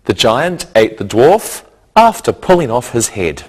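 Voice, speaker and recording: A male teacher with a mid-pitched voice delivers a scripted grammar lesson. He speaks slowly and deliberately, breaking explanations into short, didactic phrases. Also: big pitch swings.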